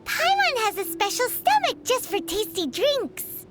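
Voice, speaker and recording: A woman's voice: extremely high pitch, very animated, unhurried, heavily acted. A woman voicing a tiny, cheeky, chatty floating girl companion in a video game, with game music behind. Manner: bubbly, cheeky, refers to herself by name. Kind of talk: video game dub dialogue.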